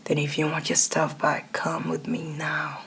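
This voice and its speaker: A woman voicing a domineering, smug male boss in a hushed, breathy, commanding manner for an ASMR roleplay. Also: low voice, completely flat delivery, measured pace, full character voice. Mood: quiet command.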